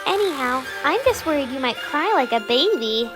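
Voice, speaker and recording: Tiny squeaky old underworld attendant. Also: expressive, normal speed. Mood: deferential, chatty. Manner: deferential, chatty, fretful. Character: fussy, loyal old underworld attendant, small and excitable. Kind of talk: animated-story character acting. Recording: music behind the voice.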